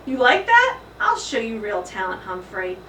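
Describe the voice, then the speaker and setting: A woman's voice: on the high side, wildly swooping pitch, normal speed, sharp tone, full character voice. A woman voicing a big, hearty, jovial male night janitor who jokes exuberantly in a children's storybook. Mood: showing off. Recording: roomy echo, distant mic, faint noise.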